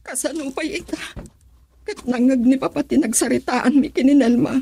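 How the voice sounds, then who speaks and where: A woman's voice: high-pitched, normal speed, gravelly, dark tone, husky, no echo, studio quality, full character voice. An actress playing a heartbroken, betrayed young woman in an Ilocano radio drama, plaintive and emotional, with an Ilocano accent. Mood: hurt, reproachful.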